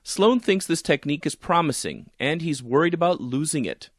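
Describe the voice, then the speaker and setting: A man's voice: fairly high pitch, animated, normal speed, husky, no echo, clean studio sound. A man reading a scripted news feature in a conversational, storytelling way as a reporter.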